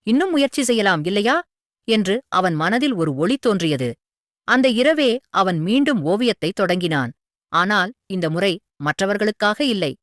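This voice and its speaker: A woman reading a scripted moral story for children as a storyteller, with an expressive, earnest delivery. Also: mid-range voice, no echo, studio quality.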